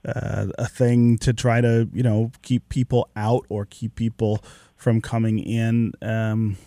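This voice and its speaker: A male radio host with a warm, gravelly voice guides the conversation calmly. He is recorded dry and close in a studio. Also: on the low side, takes it slowly, no room sound. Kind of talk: radio talk show.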